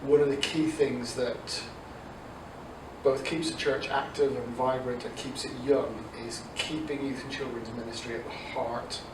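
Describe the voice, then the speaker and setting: A man in his forties from Dublin with an Irish accent softened by English, answering interview questions conversationally as a youth ministry director. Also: mid-range voice, steady tone, a little slow. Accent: Irish mixed with English. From south Dublin, Ireland. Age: 40s. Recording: echoey, distant mic, noisy room.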